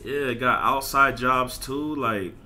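A man's voice: mid-range voice, slow, sharp tone, soft background music. A man ranting casually as a gaming streamer, blunt, emphatic and repetitive, with lots of slang and swearing. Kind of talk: casual livestream rant over gameplay.

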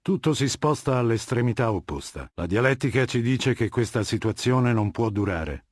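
An actor playing an imperious, ruthless middle-aged warlord in a dubbed video game, commanding, measured and scornful. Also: male voice, mid-range voice, expressive, dry recording, studio quality, close to an everyday voice. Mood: measured authority. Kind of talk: dramatic game dubbing, scripted dialogue.

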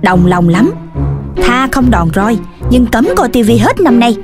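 A woman's voice: on the high side, lively intonation, unhurried. A woman voicing a stern, scolding mother dog in a children's cartoon. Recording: loud music behind the voice.

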